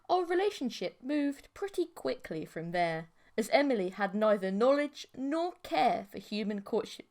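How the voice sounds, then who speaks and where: Female audiobook narrator, comic first-person delivery, wry, lively intonation, slightly high register.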